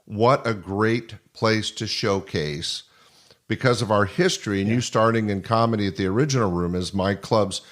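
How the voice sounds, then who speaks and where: A man's voice: on the low side, normal speed, fairly bright tone. An older man hosting a conversational interview, reminiscing in a rambling way. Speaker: podcast host, former comedy club owner.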